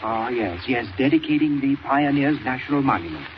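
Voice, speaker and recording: An actor playing a fussy, excitable old scientist with quaint, genteel manners in a 1940s radio drama. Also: male voice, mid-range voice, a little fast, natural voice. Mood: concerned. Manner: quaint, flustered, genteel exclamations. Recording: noisy, muffled 1940s radio recording.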